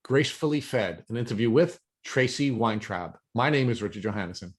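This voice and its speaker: A man's voice: mid-range voice, fairly quick. American man hosting a podcast interview. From New York, USA.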